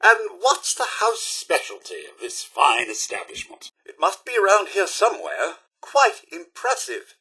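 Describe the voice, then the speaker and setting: Video game robot butler, British accent, prim and polite, dry wit, swooping intonation, raspy edge. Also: male voice, high voice, huge pitch swings, measured pace, hardly any pauses, pushed and bright, heavily acted, poor audio. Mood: eager, proud. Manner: prim, formal, theatrical, sardonic. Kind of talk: video game companion voice acting.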